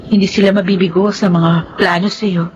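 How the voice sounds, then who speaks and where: A woman's voice: medium pitch, steady tone, normal speed, noisy background, close to an everyday voice. An actress with a Filipino accent playing a dignified, hurt young woman in a radio drama, speaking earnestly and solemnly. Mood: firm, hurt. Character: Veron, wounded, proud, dignified young woman in love. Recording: dull radio audio under loud music.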